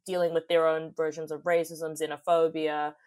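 A woman's voice: low-pitched, level tone, moderate pace, a little mellow. A young woman, reflecting thoughtfully and expressively in a conversational interview. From New Zealand. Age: young adult. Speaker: podcast guest, youth advocate and poet.

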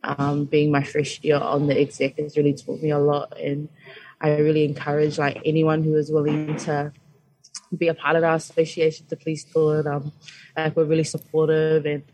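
A young woman from New Zealand, a student guest talking in a soft, hesitant way with many pauses. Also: sits low, monotone, rough recording. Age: young adult. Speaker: student association exec member, guest. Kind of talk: casual radio chat.

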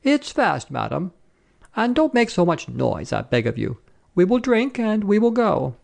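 Storybook soldier, a man's performance: earnest, insistent persuader with lifted, animated delivery. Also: high-pitched, unhurried, vocal fry, pitched above the performer's own voice, full character voice. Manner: earnest, coaxing, persistent. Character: eager, insistent blue-eyed young French soldier.

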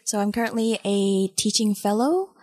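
A woman's voice: medium pitch, normal speed, a little mellow. A woman in her twenties from Malaysia, answering interview questions casually and hesitantly, with many ums and uhs. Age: 20s. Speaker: interview guest.

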